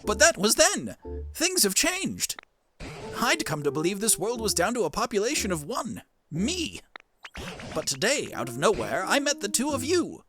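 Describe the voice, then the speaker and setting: Casting: elderly creature professor for a cartoon game played by a man, theatrical, kindly and curious, very animated pitch, music underneath. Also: moderate pace. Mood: wistful, hopeful. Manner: quaint, theatrical, musing. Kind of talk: cartoon game character dub. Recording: music behind the voice.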